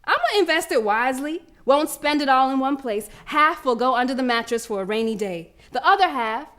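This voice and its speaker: A woman's voice: high voice, animated, brisk, fairly bright tone. A woman playing a fierce, proud young girl runner, telling her story as a brash, streetwise dramatic monologue. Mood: confident, brash. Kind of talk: dramatic first-person monologue.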